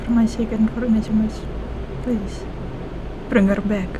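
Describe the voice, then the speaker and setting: Indonesian-accented woman, slightly high voice, expressive game streamer, casual reactions, noisy background. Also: moderate pace. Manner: expressive, mixes two languages, repeats game lines. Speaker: gaming streamer. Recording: clear background noise from game.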